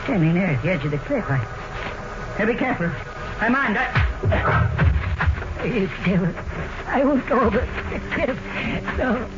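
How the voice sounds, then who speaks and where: An actor playing a suave elderly blackmailer with mannered, falsely polite speech in an old radio mystery. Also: male voice, high-pitched, lively intonation, a little slow, pauses often, over loud music. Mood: startled, frightened. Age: older. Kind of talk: 1940s radio mystery acting. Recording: noisy, dull vintage radio recording.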